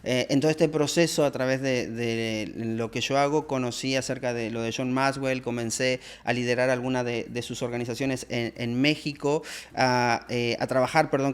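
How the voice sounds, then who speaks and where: A middle-aged man from Argentina, a leadership coach answering interview questions in a reflective way, with many fillers and tag questions. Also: a little high.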